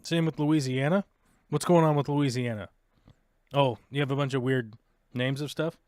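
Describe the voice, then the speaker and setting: A man's voice: medium pitch, steady tone, moderate pace, a little mellow, no room sound, clean studio sound. An American man hosting a live stream, chatting casually with lots of fillers and jokes. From United States. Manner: rambling, joking, many fillers. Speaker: live show host.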